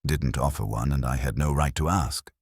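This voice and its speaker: A man voicing an earnest, technically minded boy assistant in a detective story, literal, loyal and quick to bristle. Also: a bass voice, gravelly, breathy edge, dry recording, clean studio sound, heavily acted. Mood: earnest, defensive.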